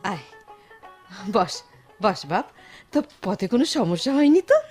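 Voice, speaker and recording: A woman's voice: medium pitch, very animated, a little slow, a little mellow, soft background music. An actress in a Bengali radio drama playing a doting, fretful grandmother with a rural Bangladeshi accent, fussing and prayerful. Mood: tender.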